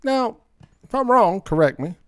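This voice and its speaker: A man's voice: very high voice, expressive, normal speed, clean tone, no room sound. A man hosting a casual podcast, bantering and debating in a colloquial, opinionated, teasing way. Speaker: podcast host.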